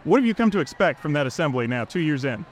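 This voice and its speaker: A man's voice: on the high side, very animated, fast, a little hiss or hum. A man co-hosting a podcast at a live event, friendly and jokey with fillers. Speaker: podcast co-host. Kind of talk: casual live interview. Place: outdoor event fair.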